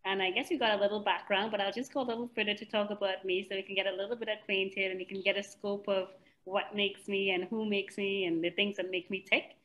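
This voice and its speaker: A woman's voice: mid-range voice, little pitch movement, fast, fairly bright tone, rough recording. A middle-aged woman from Trinidad and Tobago, giving a chatty, self-deprecating business presentation. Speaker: guest speaker, spa owner.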